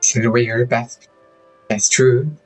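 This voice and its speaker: A man's voice: medium pitch, flat delivery, moderate pace, a little mellow, soft background music, low-quality recording. A man giving casual live commentary as he streams a video game. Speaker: game livestreamer.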